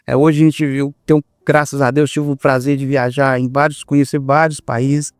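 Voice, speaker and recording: A man's voice: medium pitch, level tone, normal speed, studio quality. A Brazilian man hosting a conversational podcast interview, affirming and echoing what his guest says. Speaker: podcast host.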